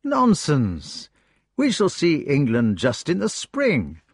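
A gentle, fatherly old king, as a male reader voices him, talking in a soft, soothing way with a lively rise and fall. His husky voice is slow and recorded dry and close. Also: a little high, dry recording, voice pushed up, heavily acted. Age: older.

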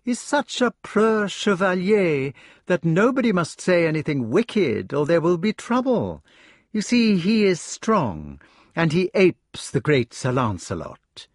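A man's voice: high voice, big pitch swings, slow pace, no echo, clean studio sound, pitched above the performer's own voice, full character voice. A man voicing a sly, sneering young knight who speaks in a mocking drawl.

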